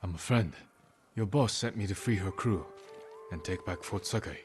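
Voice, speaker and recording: An actor playing a stoic samurai lord in a video game, speaking in a measured, grave and firm way. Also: male voice, deep voice, soft background music, close to an everyday voice. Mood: grave, determined. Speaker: samurai protagonist. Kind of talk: dramatic video game acting.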